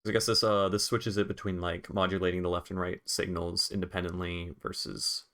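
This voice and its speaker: A man with a fairly low voice talks through a music production tutorial. He is casual and offhand, informal in his wording, with the occasional easy swear. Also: level tone, brisk, fairly bright tone. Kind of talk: casual screen-recorded software tutorial.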